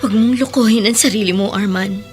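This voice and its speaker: A woman's voice: mid-range voice, fast, a little mellow, soft background music, natural voice. An actress playing a proud, wounded mother and former bride in a radio drama, reproachful and intense. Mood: defiant, hurt. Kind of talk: Tagalog radio drama acting.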